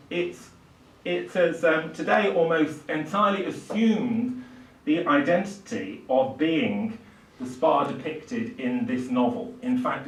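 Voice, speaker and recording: An older man giving a literary lecture in a halting, hesitant way, with frequent pauses. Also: medium pitch. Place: small indoor lecture room with seated audience. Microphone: far camera, speaker at a lectern. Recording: roomy, distant microphone in a hall.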